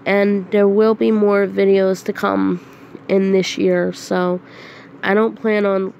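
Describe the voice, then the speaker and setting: A woman with a head cold, sounding nasal and hoarse, talking to her channel's viewers in a slow, halting casual announcement. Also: medium pitch, little pitch movement, a little mellow, dull audio, slight background noise. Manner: slow, halting, with frequent throat clearing and coughs. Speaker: channel host. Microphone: phone screen recorder.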